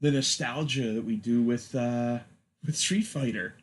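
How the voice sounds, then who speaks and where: Mid-pitched man in casual podcast discussion. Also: measured pace. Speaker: podcast co-host. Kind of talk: casual discussion, steering the topic.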